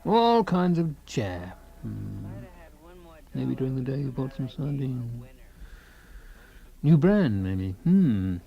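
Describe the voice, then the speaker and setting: Fussy self-absorbed husband, average-pitched male voice, rambling and savouring, radio comedy-drama, faint music behind. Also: sing-song, slow pace, gravelly, pauses often, dull audio, exaggerated voice. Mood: wistful relish. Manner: rambling, savouring lists of small things. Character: fussy, self-absorbed husband, stoic about creeping paralysis.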